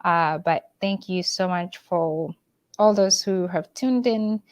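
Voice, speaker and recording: A woman's voice: lowish voice, slow, dry recording. A woman from Uganda hosting a casual livestream, speaking hesitantly with many fillers and restarts. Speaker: livestream host.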